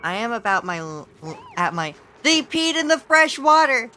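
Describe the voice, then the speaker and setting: A woman streaming a game with casual, playful commentary, laughing often, her voice a little hoarse and sore. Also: a little high, big pitch swings, normal speed, bright, forceful tone, soft background music. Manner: giggly, playful, exclaims at the game.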